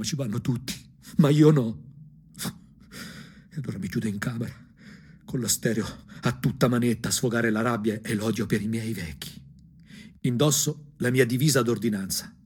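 A man with a Tuscan accent and a husky voice performs an ironic, angry, emphatic theatrical monologue for a punk radio drama. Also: medium pitch. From Anghiari, Tuscany, Italy. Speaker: stage actor and storyteller. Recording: music under the voice. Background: music.

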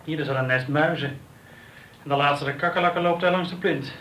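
A man's voice: a little high, steady tone, fairly quick, a bit bright. Wry male detective, roomy sound. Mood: dry, matter-of-fact. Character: male police detective, wry, matter-of-fact and cynical. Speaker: actor in a radio play. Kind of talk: naturalistic Dutch radio drama acting. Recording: roomy, distant, noisy radio play recording.

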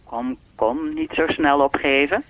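A man's voice: a little high, fairly even intonation, unhurried, no room sound. A man playing an enigmatic, all-knowing keeper of time in a radio play, speaking formally and teasingly through a telephone filter. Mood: calm, lecturing. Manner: formal, lecturing, unruffled, faintly teasing. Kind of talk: radio drama dialogue. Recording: thin, dry telephone-line sound.

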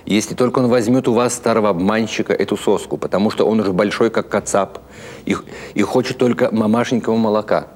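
A man's voice: lowish voice, fairly even intonation, fast talker, darkish tone, natural voice. Man playing a scornful, stout woman cook. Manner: sarcastic, grumbling.

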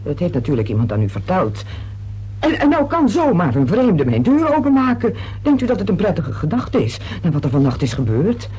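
A woman's voice: on the low side, huge pitch swings, a little fast, mellow, husky, full character voice. An actress in a radio play playing a nervous, defensive woman under questioning, hesitant and insistent as she protests. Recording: radio play recording with hiss and hum.

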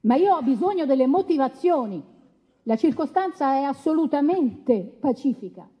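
A woman's voice: high voice, expressive, moderate pace, long reverb tail, muffled recording. A woman playing a firm, insistent examiner who demands reasons in a courtroom radio drama. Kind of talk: courtroom docudrama radio acting.

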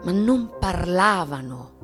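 An actress playing a bitter, yearning bourgeois wife in a dramatic radio reading, deliberate and hushed, with long pauses. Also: female voice, lowish voice, expressive. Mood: wistful. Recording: music and sound design behind.

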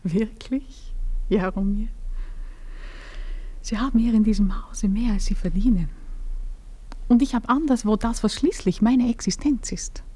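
Radio play lady: composed, measured, fairly high and mellow. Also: slightly rough. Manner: measured, sometimes whispered confidences. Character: composed married woman, old friend of the man.

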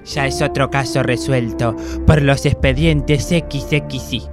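A man's voice: mid-range voice, fairly even intonation, a little slow, over music, close to an everyday voice. A man with an Argentine accent playing a bossy, impatient, scheming paranormal investigator in a comic radio play. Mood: satisfied. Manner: scolding, emphatic. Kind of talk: comedic amateur radio play acting.

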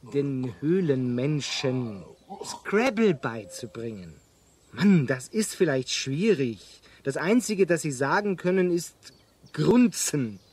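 Radio play: earnest schoolmasterly castaway, slow-paced, high voice, stubbornly hopeful. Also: male voice, breathy edge, no room sound, full character voice.

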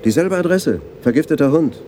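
An actor playing an older, laconic police detective in a German radio crime drama. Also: male voice, on the high side, animated, brisk, mellow, slight background noise, lightly acted. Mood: urgent, excited. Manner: dry, laconic. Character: older adjutant, dry Amsterdam police detective.

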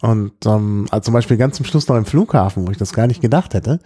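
A man in middle age with a low, dark and relaxed voice. He talks in a casual, anecdotal way, hesitating and often clearing his throat. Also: fast. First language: German. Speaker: podcast guest telling about his trip. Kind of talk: casual chat, travel anecdotes.

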